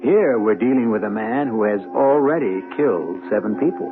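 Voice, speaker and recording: A man hosts an old-time radio mystery with wry, urbane narration in deliberate, theatrical phrases. His delivery is very animated, over clear music, on a narrow, phone-like broadcast. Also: lowish voice.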